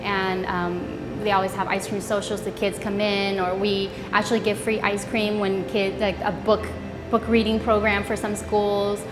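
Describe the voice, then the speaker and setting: A woman's voice: medium pitch, normal speed, in a large hall, music in the background, rough recording. Woman from Vietnam, middle-aged, casual interview talk, lots of ums and restarts. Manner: relaxed, with many ums and restarts. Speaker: ice cream shop co-founder.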